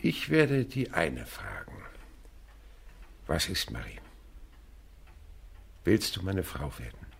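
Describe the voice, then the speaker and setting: Radio play lead: elderly naturalist, creaky voice, highly animated storyteller with a wistful streak. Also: male voice, slow, pauses often, exaggerated voice. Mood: gruff, tender. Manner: vivid dramatic storytelling. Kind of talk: German radio play acting.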